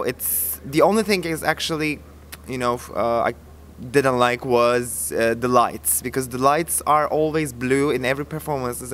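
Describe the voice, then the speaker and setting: A man's voice: medium pitch, fairly bright tone. A man from Turkey with a Turkish accent, giving a casual review and often searching for words. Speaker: reviewer, co-presenter. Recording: faint background ambience noise.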